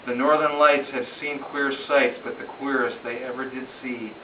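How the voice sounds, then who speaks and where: A man's voice: mid-range voice, steady tone, moderate pace. A man recites a narrative poem in a dramatic, storytelling way. His phrasing is rhythmic and emphatic, like an amateur reading aloud at home. The sound is roomy and a little dull, picked up from a distance over faint noise. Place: room in a home, at a gathering.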